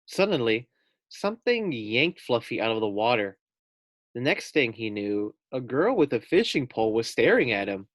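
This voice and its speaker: A man reading a children's storybook aloud like a teacher, pausing for casual asides and questions to his young listeners. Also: medium pitch, big pitch swings, fairly bright tone, no room sound.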